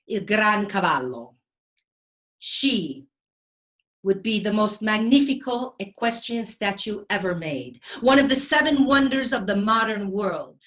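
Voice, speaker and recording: A woman playing a vain, playful Renaissance genius in a theatrical comic monologue, with florid delivery, dramatic pauses and sprinkled Italian words. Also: mid-range voice, a bit bright. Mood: rapturous. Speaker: stage actor.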